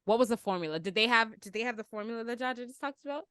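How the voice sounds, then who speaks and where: A woman's voice: on the high side, expressive, very fast, bright, forceful tone, clear, resonant tone, dry recording, studio quality. A woman hosting a casual panel chat, speaking informally and asking plenty of questions. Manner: conversational, informal, inquisitive. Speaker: podcast host.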